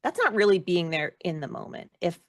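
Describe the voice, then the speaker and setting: A woman speaking casually as an interview guest, reflective and self-deprecating, with an animated way of talking. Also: fairly low pitch, a little fast, dry recording. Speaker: guest, actor and performer.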